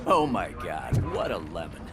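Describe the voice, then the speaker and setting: A man's voice: low voice, sing-song, normal speed, over a noisy crowd. A man playing a cynical, teasing police officer in a video game, dismissive and needling. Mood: scornful, teasing. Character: cynical, teasing male veteran cop.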